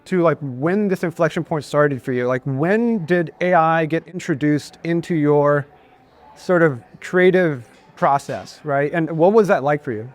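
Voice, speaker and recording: A man's voice: on the high side, a little slow. A man hosting a casual on-stage interview, talking loosely with many "kinda" and "like". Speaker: interviewer, podcast host. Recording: faint music behind.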